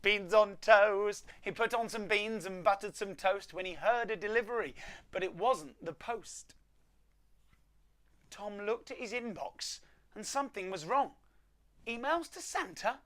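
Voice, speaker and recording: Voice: mid-range voice, fairly bright tone. Theatrical children's storyteller reading rhymes. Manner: theatrical, with dramatic pauses and emphasis. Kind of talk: expressive rhyming storybook read-aloud for children. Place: at home.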